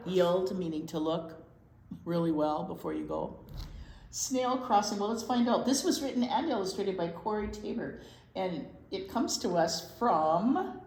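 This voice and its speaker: A woman reading a picture book aloud to young children as a storytime teacher, expressive and often putting questions to them, in a roomy echoing space. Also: mid-range voice, a little hiss or hum. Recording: roomy, echoing indoor sound.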